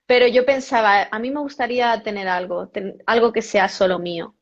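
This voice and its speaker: A woman's voice: fairly high pitch, fairly even intonation, fairly quick. A woman, a yoga cartoonist, chatting casually as an interview guest, correcting herself often and laughing now and then. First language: Spanish. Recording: dull lo-fi audio.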